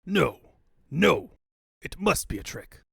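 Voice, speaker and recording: A man acts out a tyrannical cartoon villain. He is bombastic and menacing, with cowardice under the bluster. His pitch swings widely and the sound is dry. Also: big pitch swings, slow, creaky, fairly bright tone, slightly rough, no room sound, exaggerated voice. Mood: angry disbelief. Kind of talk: comic book fan dub.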